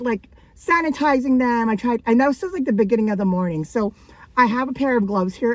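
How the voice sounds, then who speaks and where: Chatty raspy-voiced woman vlogger. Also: a little high, no echo, rough recording. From Canada. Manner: chatty and rambling, frequent likes and laughs. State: raspy from coughing. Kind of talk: casual thrift haul vlog.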